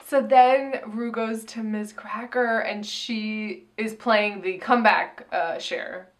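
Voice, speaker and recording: A woman with a fairly high voice chats casually as a review co-host. She retells scenes in a gossipy way, slipping into mimicked quotes as she goes. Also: fairly even intonation, slow pace.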